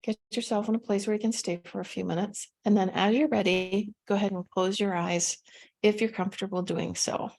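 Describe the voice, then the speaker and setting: A woman with a soft-edged, mellow voice guides a meditation. Her pitch sits in an ordinary middle range for a woman. She gives gentle instructions without hurry, leaving space between phrases. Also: steady tone, vocal fry. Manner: gentle, unhurried instruction with pauses.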